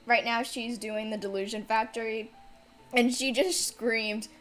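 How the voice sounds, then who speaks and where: A woman's voice: a little high, steady tone, a little slow, fairly bright tone, faint music behind. A woman streamer giving casual, rambling, joking commentary on a game in a hesitant way.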